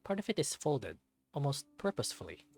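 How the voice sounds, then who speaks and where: Male narrator for a visual novel, middle-pitched, scripted story read, music underneath. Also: very animated, fairly quick, vocal fry, darkish tone, husky.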